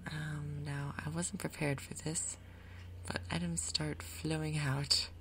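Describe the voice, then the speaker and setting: A woman giving soft, breathy, whispered ASMR commentary on a game, murmuring her thoughts aloud in an unhurried way. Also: sits low, level tone, background noise. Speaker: gaming video host.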